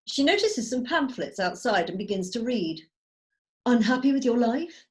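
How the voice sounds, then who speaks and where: A woman's voice: a little high, sing-song, moderate pace. A woman narrating the stage directions of a script in a table read over a video call.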